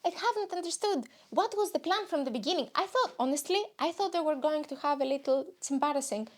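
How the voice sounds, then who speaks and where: A woman's voice: high-pitched, lively intonation, fast talker. A young woman from Greece with a Greek accent, hosting a podcast in a rambling, self-mocking comic monologue. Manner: rambling, self-mocking, jumps into silly voices. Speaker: podcast host.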